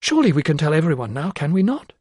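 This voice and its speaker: A desperate young noblewoman whose voice climbs high and cracks with tearful, pleading outbursts, as a male narrator puts her on. The reading is dry and close, with no room sound. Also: big pitch swings, fast, a little mellow, husky, no room sound. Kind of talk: audiobook character voice.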